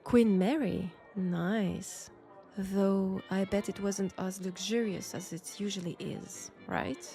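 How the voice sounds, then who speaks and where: A woman's voice: mid-range voice, fairly even intonation, creaky, warm tone, soft background music. A woman playing a mysterious, flirtatious Frenchwoman in 1940s England, speaking with a French accent in an intimate, coy roleplay audio drama. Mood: curious, teasing. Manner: unhurried, coy, conversational with pauses.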